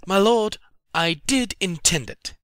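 An actor playing a dutiful, hesitant and deferential servant in a dramatic Shakespeare reading. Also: male voice, sits high, takes it slowly, fairly bright tone, clear, resonant tone, no echo, studio quality. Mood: obedient. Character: dutiful, deferential male servant questioning his master.

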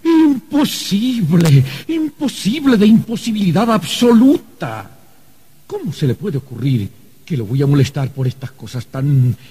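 An anxious archangel who works as a clerk. His voice is very husky and mellow, swinging widely in pitch as he fusses theatrically in a radio drama. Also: heavily acted. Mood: indignant. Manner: flustered, stammering, theatrical. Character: fussy, anxious male archangel clerk of the afterlife. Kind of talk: Spanish-language radio drama acting.

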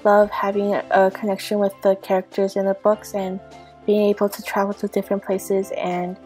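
A twenty-year-old woman from the United States speaking calmly and measuredly to camera. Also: mid-range voice, moderate pace, soft background music.

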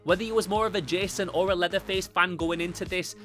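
A young man presents a scripted comic book review with casual enthusiasm. His voice sits slightly high for a man and is bright and punchy. Soft music plays behind him. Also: fairly even intonation, fast. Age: young adult. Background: background music.

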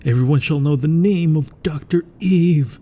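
A man playing an obsessed, grandiose mad scientist in a gothic horror ASMR roleplay, with florid, dramatic, often breathy delivery. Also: a little high, normal speed, warm tone, natural voice. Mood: grandiose, fervent. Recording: thunderstorm and rain noise. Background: rain and thunder ambience.

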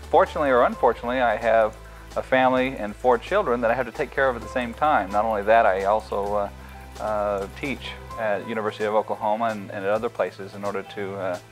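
Man, forties, self-deprecating, halting, slightly low voice, faint music. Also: poor audio. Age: 40s. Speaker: incoming law student. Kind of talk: interview.

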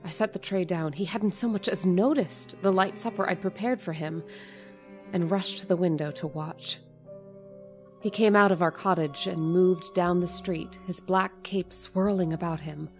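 A woman's voice: lowish voice, fairly even intonation, normal speed, faint music behind, natural voice. Woman acts a nervous landlady for a radio play: hesitant and stammering, telling her story. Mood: reflective narration. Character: timid middle-aged landlady. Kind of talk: old-time radio drama acting and narration.

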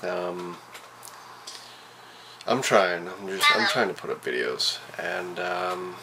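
A man's voice: deep voice, lively intonation, takes it slowly. A man in his twenties with a Canadian accent, chatting casually as a vlogger in a laid-back, slangy, rambling way into a camera mic. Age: 20s. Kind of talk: casual vlog chat. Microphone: camera's built-in mic, handheld or at arm's length. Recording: noisy handheld camera audio, vehicle and ambient noise.

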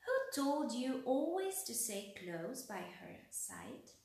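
Woman doing a jolly furry beaver guy, hearty, slow, lots of echo. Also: high voice, big pitch swings, a little mellow, a little hiss or hum, voice pushed up, exaggerated voice. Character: friendly big furry male beaver. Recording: very echoey room.

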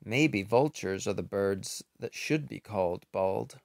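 A man reading a children's picture book aloud in an expressive, unhurried way, with casual, playful asides. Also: fairly low pitch, vocal fry, dry recording, clean studio sound.

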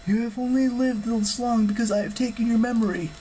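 Audiobook role: male reader as an imprisoned goddess, grave and urgent, raised pitch, portentous delivery. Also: dark tone, a little hiss or hum. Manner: solemn, portentous, deliberate. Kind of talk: audiobook character acting.

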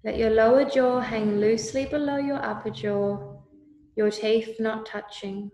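A woman leading a guided meditation with calm, soothing, deliberate instructions. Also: a little high. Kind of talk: slow guided meditation narration. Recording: roomy, echoey voice recording.

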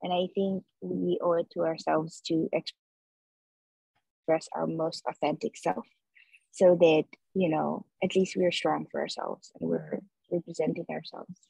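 Husky, gravelly woman from Nepal. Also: deep voice, expressive, a little slow, mellow, dry recording. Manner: earnest, many filler likes. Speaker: interview guest, street artist. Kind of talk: casual reflective conversation. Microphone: video call laptop mic. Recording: dull video call audio.

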